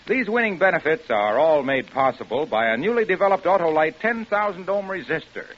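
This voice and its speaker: A man announcing a 1950s radio commercial with an emphatic sales delivery. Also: on the high side. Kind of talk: 1950s radio advertising pitch. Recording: muffled vintage radio recording.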